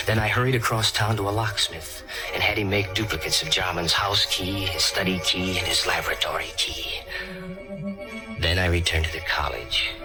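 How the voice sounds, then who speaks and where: A man's voice: low voice, lightly acted. A male actor playing an obsessed, scheming chemistry teacher who tells his own story in a dramatic, brooding old-time radio mystery style. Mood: grimly determined. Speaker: narrator and lead actor in a radio play. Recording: vintage radio recording with loud music behind.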